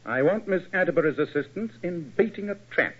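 An actor playing a shrewd, courteous English gentleman detective with a British accent in old-time radio style. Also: high voice, expressive, brisk. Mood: brisk, persuasive. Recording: tinny old radio recording.

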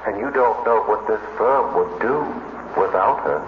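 An actor playing a mild, mind-reading robot in a 1950s radio drama, speaking with slow deliberation. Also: male voice, fairly low pitch, a little hiss or hum, close to an everyday voice. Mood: mild, knowing. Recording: echoey, tinny old 1950s radio recording.

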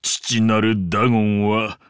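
A man voicing an ancient, imperious sea-god father in a Japanese game, speaking in a pompous, archaic and deliberate way with grand indulgence. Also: fairly low pitch, level tone, clear, resonant tone, no echo, studio quality, natural voice. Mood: grandly triumphant. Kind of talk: Japanese game character voice acting.

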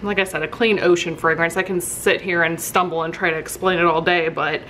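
A woman's voice: lowish voice, steady tone, a little fast, sharp tone, a little hiss or hum, rough recording. A woman chatting casually through a craft tutorial, rambling and thinking aloud as she works. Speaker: soap maker hosting her own craft video.

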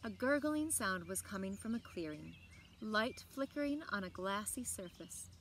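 A woman in her thirties reading a children's picture book aloud expressively, with deliberate phrasing and dramatic emphasis. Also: mid-range voice, animated, fairly bright tone. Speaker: library story time reader. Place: outdoors on a lawn by trees. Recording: outdoor background noise with birdsong. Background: outdoor ambience, birds.

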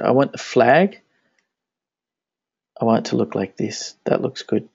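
A man's voice: medium pitch, creaky, a little mellow, dry recording. Casual man talking through a screencast. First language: Danish. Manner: casual, thinking aloud while clicking. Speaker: web tutorial instructor. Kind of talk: screencast tutorial walkthrough. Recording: dry, dull lo-fi screen recording.

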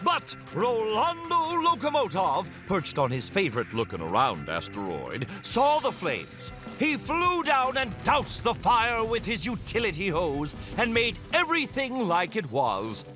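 A man playing an excitable, silly storybook narrator for a children's cartoon, with a playful, exaggerated, mock-dramatic delivery. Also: high-pitched, a little slow, few pauses, over music, full character voice.

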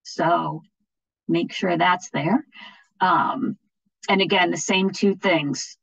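A woman's voice: on the low side, no echo, highs cut off. A woman presenting an informal training webinar in a plain-spoken, explanatory way, often checking in with listeners. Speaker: training presenter for education officials. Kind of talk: informal webinar instruction with slides. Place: online video-call webinar.

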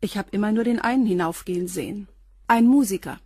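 An actress plays a radio drama concierge of about forty. Her medium-pitched voice is husky, and she stays reserved and matter-of-fact. The sound is dry and close. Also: fast talker, warm tone, dry recording, natural voice. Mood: guarded. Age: middle-aged. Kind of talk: German radio crime drama acting.

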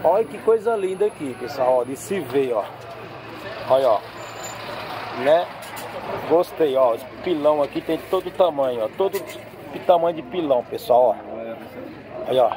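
A man's voice: on the high side, animated, normal speed, pauses often. A man with a Brazilian accent giving casual, chatty commentary to viewers, often repeating little tag phrases. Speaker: vlogger touring a street market. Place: outdoor street market. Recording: outdoor market with crowd noise.

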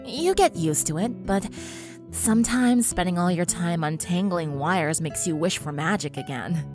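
A woman's voice: lowish voice, expressive, moderate pace, lightly acted. A woman playing an easygoing, sympathetic, sheepish teenage girl, casual and wry, in a cartoon-style audio story. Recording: guitar music behind.

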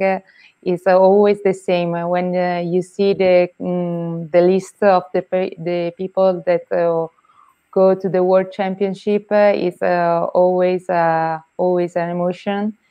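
A young Italian woman in her twenties speaks English slowly and hesitantly, with an Italian accent and plenty of fillers and shy laughs. Her voice is low for a woman, flat in pitch and smooth and clear. She speaks into an earphone mic on a video call. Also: dull audio. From Italy. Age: 20s. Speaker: guest athlete.

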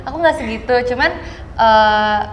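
A woman's voice: on the high side, moderate pace, roomy sound, in a large hall, a little hiss or hum. A young woman from Indonesia answering interview questions casually, mixing English words into her slangy talk. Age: young adult. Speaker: actress being interviewed. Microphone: clip-on lapel mic.

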